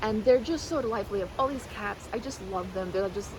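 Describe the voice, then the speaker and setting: A woman's voice: mid-range voice, brisk, noisy background. A woman rambling casually and animatedly like a chatty best friend, slipping into mimicked quotes in a roleplay.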